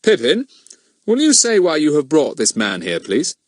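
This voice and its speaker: A man's voice: fairly high pitch, huge pitch swings, normal speed, no room sound, pitched above the performer's own voice. A man voicing an authoritative, composed police inspector in a storybook reading. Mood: brisk authority.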